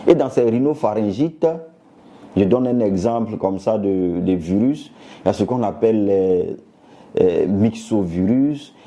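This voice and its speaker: A man speaking as a doctor, explaining a health topic to viewers in a didactic way and pausing to search for words. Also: fairly low pitch, warm tone. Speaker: doctor giving a health talk.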